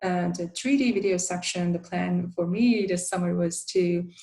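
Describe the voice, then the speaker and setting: A woman presenting a design guide, a native Turkish speaker who talks in a halting way with many fillers and restarts. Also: lowish voice, creaky, dark tone. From Turkey. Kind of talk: conference presentation.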